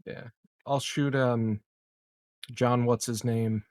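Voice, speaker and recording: A man's voice: mid-range voice, steady tone, slow, darkish tone, no room sound. A man playing a tabletop game, laid-back and casual, with some swearing. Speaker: player.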